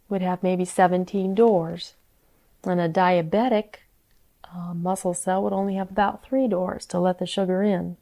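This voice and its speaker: Female health lecturer, mid-pitched, explanatory delivery, plain-spoken and didactic. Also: a little mellow.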